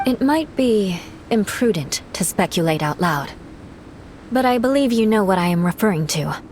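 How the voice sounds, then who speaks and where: A woman playing a cool, formal but caring knight in a video game, speaking in a measured, composed way. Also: medium pitch, animated, darkish tone, a little hiss or hum, close to an everyday voice, poor audio. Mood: serious, thoughtful.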